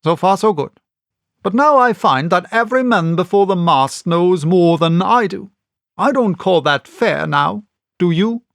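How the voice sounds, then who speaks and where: A man's voice: high-pitched, animated, unhurried, vocal fry, a bit bright, no echo, clean studio sound, voice pushed up, full character voice. Man voicing a stern, blunt sea captain. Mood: stern, formal. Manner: plain-spoken, clipped, insistent. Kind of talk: audiobook character reading.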